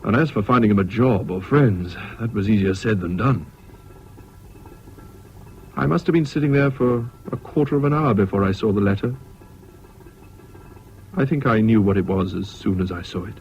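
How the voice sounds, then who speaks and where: An actor with a British accent playing a weary, reserved narrator in a 1970s radio drama, speaking in a measured, reflective way. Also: male voice, fairly low pitch, a little mellow, close to an everyday voice. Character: weary, concerned friend and narrator, a reserved man. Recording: dull, noisy old radio recording.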